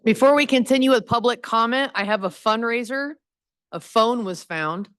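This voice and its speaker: A woman chairing a public commission meeting, moving things along in a light, joking, brisk way. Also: fairly high pitch, fairly bright tone, clean tone.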